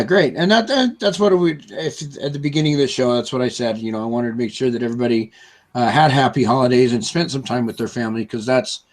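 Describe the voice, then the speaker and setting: Chatty man with a chest cold. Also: medium pitch. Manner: chatty, filler-heavy, upbeat. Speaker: co-host. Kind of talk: casual live-stream chat.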